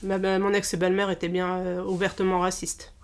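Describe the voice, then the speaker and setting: Halting woman in her fifties, Portuguese-born. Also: on the low side, completely flat delivery. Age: 50s. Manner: halting, many pauses and restarts. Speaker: interviewee, childminder. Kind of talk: casual interview conversation.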